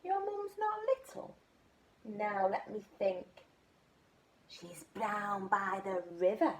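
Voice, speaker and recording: A woman's voice: medium pitch, big pitch swings, takes it slowly. Woman doing an eager storybook butterfly. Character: eager helpful butterfly guide. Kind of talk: storybook read-aloud.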